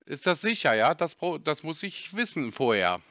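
Bright-voiced man baiting a phone scammer. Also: a little high, very animated, moderate pace, no room sound, muffled recording. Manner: probing, mock-naive, argumentative. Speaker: scam baiter posing as a customer. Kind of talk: prank phone call.

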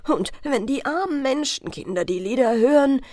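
A woman's voice: high-pitched, animated, normal speed, darkish tone, no echo, studio quality. A woman voicing a stern old fisherman father in a fairy tale, admonishing his child emphatically and with vehemence. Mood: grave explaining.

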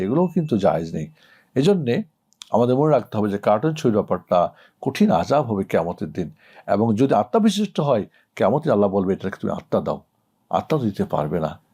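A man's voice: fairly low pitch, warm tone, breathy edge. A middle-aged man speaking as an Islamic cleric, giving a didactic, emphatic religious answer to a question. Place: indoor studio set.

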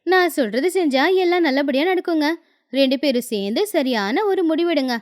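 A woman's voice: high-pitched, expressive, a little fast. A woman acting a shrewd young village wife in a moral story cartoon, coaxing and advising with confidence. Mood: persuasive. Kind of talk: acted dialogue in a Tamil moral story cartoon.

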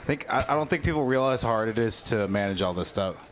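Low-voiced man chatting in a booth. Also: expressive, fast. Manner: rambling, chatty, talks directly to viewers in chat. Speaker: stream producer and co-commentator. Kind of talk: casual live-stream commentary. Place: production booth.

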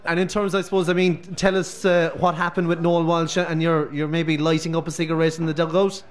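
A middle-aged Irish radio host interviewing guests live from a busy pub on a close handheld mic. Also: male voice, fairly high pitch, flat delivery. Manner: brisk, leading questions.

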